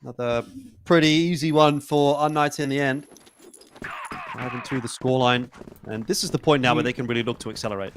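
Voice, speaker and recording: A man of about thirty giving relaxed, analytical color commentary on an esports match, with dry jokes. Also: mid-range voice, a little fast, slight background noise. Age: 30s. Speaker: esports co-caster.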